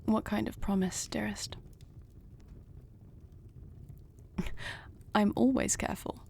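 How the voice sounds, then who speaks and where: A woman playing a noble, devoted warrior queen in an intimate fantasy audio roleplay, husky and breathy, speaking in measured, earnest, formal phrases. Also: mid-range voice, fairly even intonation, a little mellow, a little hiss or hum, lightly acted. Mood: earnest, reassuring.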